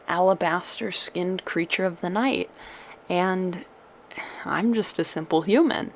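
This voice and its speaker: A woman's voice: medium pitch, creaky, a little hiss or hum. A woman playing a tender, doting witch girlfriend who soothes a monster lover with old-fashioned endearments in an ASMR audio roleplay. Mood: adoring reassurance.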